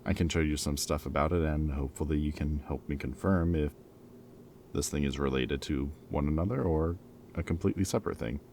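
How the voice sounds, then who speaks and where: A man's voice: a bass voice, brisk, a little mellow, a little hiss or hum. A man playing a former villain in an audio roleplay, a playful, loyal friend who talks in a casual, wry, bantering and hesitant way, as if in conversation. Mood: wry, joking.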